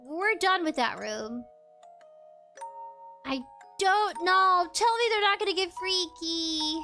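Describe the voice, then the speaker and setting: A woman's voice: very high voice, expressive, slow pace, sharp tone, soft background music. A woman streaming a game, chatting casually with viewers in a bubbly, giggly way. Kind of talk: casual live-stream chat while gaming.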